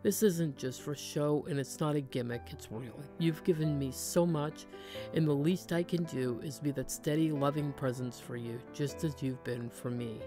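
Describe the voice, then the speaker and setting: A woman in her thirties, a cleaning channel host, speaking in a soft, earnest, sincere voice-over. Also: sits low, normal speed, over music. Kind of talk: heartfelt voice-over narration.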